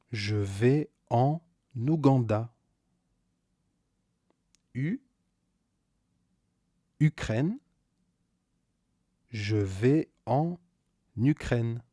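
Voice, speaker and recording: Very slow, dark-voiced male French teacher. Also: mid-range voice, expressive, no echo, clean studio sound. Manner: slow, deliberate, repeats key words with stressed rises. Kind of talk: scripted beginner grammar lesson.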